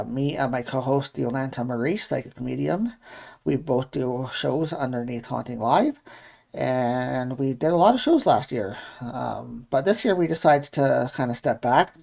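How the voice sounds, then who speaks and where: Gravelly Canadian man chatting casually. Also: medium pitch, darkish tone. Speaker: podcast co-host and producer. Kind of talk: casual live show chat.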